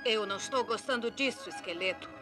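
A woman's voice: medium pitch, quick pace, music in the background, natural voice. A woman playing a cold, scheming sorceress henchwoman in a dubbed cartoon. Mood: wary, cold. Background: dramatic cartoon score.

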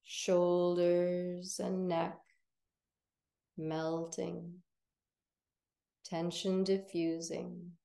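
A woman teaching yoga nidra, guiding relaxation in a gentle, soothing, unhurried manner with long pauses. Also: lowish voice, flat delivery, gravelly, reverberant. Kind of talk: guided relaxation meditation.

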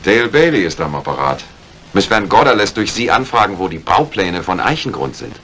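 Bright, forceful, businesslike fellow. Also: male voice, on the low side, sing-song, brisk. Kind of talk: retro German radio crime drama acting. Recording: noisy old radio recording.

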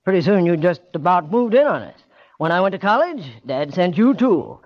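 An American actor playing a bitter, vengeful bookkeeper in 1940s radio drama, taunting and mocking with quiet menace. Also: male voice, sits high, sing-song, normal speed. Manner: taunting, mocking, with sly chuckles. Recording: dull, lo-fi old radio recording.

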